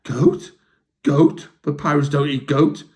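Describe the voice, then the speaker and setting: A man's voice: a little high, steady tone, takes it slowly, a little mellow. A man putting on a bossy pirate chief. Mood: demanding. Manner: barks orders. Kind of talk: storybook reading for children.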